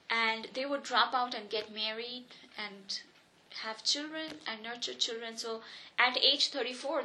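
Bright-voiced woman. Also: a little high, low-quality recording. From India. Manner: earnest, reflective, with frequent restarts. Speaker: interviewee, economics professor. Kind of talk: personal oral history interview.

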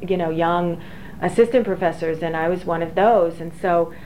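Low-voiced professor woman, hesitant. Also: moderate pace, darkish tone. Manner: hesitant, many fillers and restarts, reflective. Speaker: engineering professor. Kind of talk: oral history interview. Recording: dull lo-fi recording with faint hiss.

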